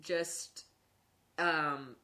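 A woman's voice: sits low, steady tone, very slow, sharp tone. A young woman chatting casually as a podcast host, speaking informally and peppering her sentences with "like". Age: young adult. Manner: informal, frequent "like" as filler. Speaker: podcast host and improv performer. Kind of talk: casual conversational chat.

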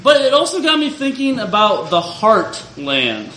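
A man telling a personal story to a live audience in a wry, confessional way, speaking loudly without the microphone. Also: sits high, huge pitch swings, a little slow, slight background noise. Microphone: not using the microphone. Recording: roomy live venue sound.

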